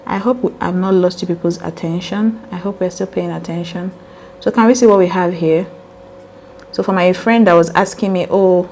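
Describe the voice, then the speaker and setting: A woman with a raspy voice hosting a casual step-by-step sewing tutorial, chatty and earnest as she talks straight to her viewers. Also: fairly low pitch, darkish tone, slight background noise.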